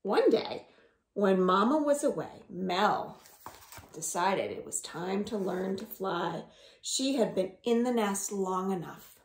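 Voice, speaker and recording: A woman reading picture books aloud to young children at home, very expressively, with drawn-out words. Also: mid-range voice, slight background noise. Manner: expressive, with drawn-out words, sound effects and gasps. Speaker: children's storytime reader. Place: indoors at home. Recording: roomy, echoing home recording.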